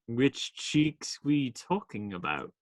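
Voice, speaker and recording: A man plays a teasing outlaw in a radio play, tossing out cheeky banter with a widely swinging pitch. The delivery is unhurried and theatrical, recorded close and dry. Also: very animated, no echo, heavily acted. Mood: cheeky, incredulous.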